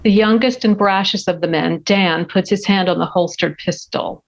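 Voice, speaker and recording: A woman's voice: mid-range voice, normal speed, lo-fi sound. A woman reading stage directions as the narrator of a scripted screenplay table read.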